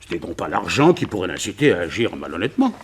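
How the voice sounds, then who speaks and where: Blustering senior businessman: rough-edged husky voice, swooping intonation, assured radio-drama delivery. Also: sits low, very animated, quick pace, heavily acted. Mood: confident, emphatic. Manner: emphatic, exclaiming, dismissive. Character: older businessman, blustering, confident, loyal boss. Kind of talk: French radio crime drama acting.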